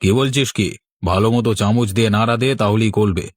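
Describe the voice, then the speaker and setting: A man's voice: fairly low pitch, quick pace, dry recording, clean studio sound, natural voice. A man voicing a bossy, crafty swindling market vendor in a cartoon, in a brash, scolding manner. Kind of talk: cartoon voice acting.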